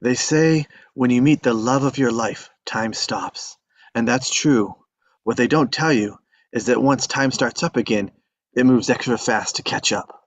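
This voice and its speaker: A man's voice: medium pitch, a little slow, dry recording. A man reading the part of an earnest, determined young man in love, pleading his case. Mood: reflective. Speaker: table read actor. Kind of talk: live table read acting.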